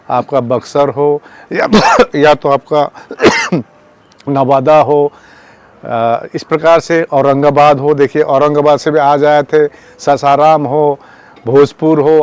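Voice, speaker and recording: A man speaking as a protest organiser, giving a campaign statement in a halting, repetitive way and mixing in English words. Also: fairly high pitch, a little mellow. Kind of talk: spoken public statement on a campaign.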